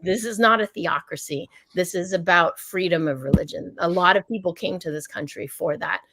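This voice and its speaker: A woman's voice: on the low side, moderate pace, a bit bright, dry recording. A middle-aged woman doctor answering interview questions in a forthright, emphatic way, laughing between points. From United States. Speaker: doctor and company founder, guest.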